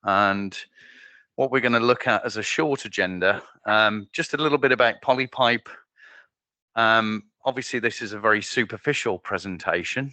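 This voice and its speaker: A man giving a conference presentation as a company managing director, loud and joking at his own expense. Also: fairly low pitch, no echo. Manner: loud, jokey and self-deprecating. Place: conference venue.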